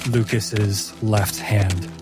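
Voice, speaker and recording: Male game master, slow, theatrical storyteller with measured pauses, over a music bed. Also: fairly low pitch, little pitch movement. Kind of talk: dramatic narration of a tabletop roleplaying story.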